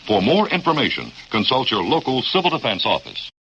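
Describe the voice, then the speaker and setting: A man's voice: mid-range voice, huge pitch swings, brisk, pushed and bright. An American man formally reading an instructive mid-century civil defense public service announcement on an old radio recording. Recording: dull, faintly noisy archival radio recording.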